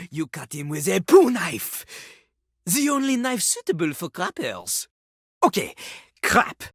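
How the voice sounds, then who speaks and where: A woman's voice: medium pitch, gravelly, pushed and bright, breathy edge, no room sound, clean studio sound, exaggerated voice. A woman voicing a cocky male talking toilet who fancies himself a rapper, with a French accent and a theatrical, pompous manner in a video game. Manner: pompous, theatrical, refers to himself in third person. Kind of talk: animated video game character acting.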